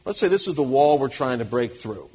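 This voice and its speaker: Man, husky voice, mid pitch, earnest teaching talk, emphatic, conversational. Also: very animated, brisk, a little mellow, rough recording. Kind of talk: teaching talk to a church audience.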